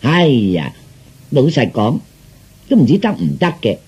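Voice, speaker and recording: A man's voice: mid-range voice, sing-song, measured pace, a little mellow, husky, full character voice. A man playing a blunt, sceptical empress in a comic audio story, complaining in an earthy, sarcastic manner. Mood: sarcastic scolding. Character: blunt, nagging, sceptical empress, wife of emperor.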